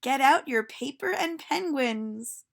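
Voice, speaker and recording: A woman's voice: sits high, expressive, vocal fry, voice pushed up, exaggerated voice. A woman voicing a cheerful, silly schoolteacher from a children's storybook, calling out announcements emphatically. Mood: bright, announcing. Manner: loud, emphatic announcing, drawn-out words. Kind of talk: storybook read-aloud for children.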